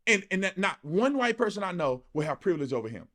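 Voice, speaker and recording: Guy ranting opinions, really high voice, swings up and down, repeats himself for emphasis. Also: sing-song, quick pace, a bit bright. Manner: emphatic, repetitive, rhetorical questions, colloquial. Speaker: political commentator. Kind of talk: impassioned opinion monologue.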